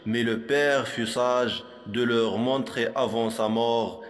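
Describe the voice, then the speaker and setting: Male reciter for school poetry: unhurried, measured, instructive delivery, mid pitch, big reverberant hall sound. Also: fairly even intonation, soft background music. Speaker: poem reciter for a primary school lesson.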